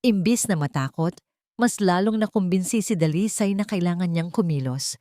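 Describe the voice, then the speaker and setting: Lowish-voiced woman narrating drama. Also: normal speed, dark tone, no echo, clean studio sound. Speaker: audiobook narrator. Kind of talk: dramatic scripted story narration.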